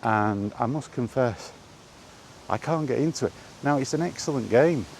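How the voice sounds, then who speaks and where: A man chatting casually in a rambling solo monologue, halting and musing, often repeating his phrases, recorded outdoors. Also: medium pitch, lively intonation, a little mellow, a little hiss or hum. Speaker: host of a gaming chat series. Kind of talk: casual rambling monologue about video games.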